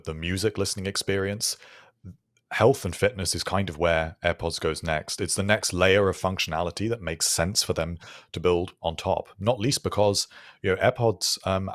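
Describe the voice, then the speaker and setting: A man co-hosting a podcast, thinking aloud in a measured, casual, analytical way. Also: low voice, no room sound, studio quality. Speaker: podcast co-host.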